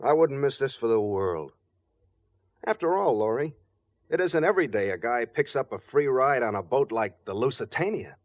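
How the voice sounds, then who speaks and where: An actor playing a cocky, carefree crook with breezy, slangy delivery in a 1940s radio drama. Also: male voice, medium pitch, animated, moderate pace, close to an everyday voice. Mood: cocky, elated. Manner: breezy, slangy, self-assured.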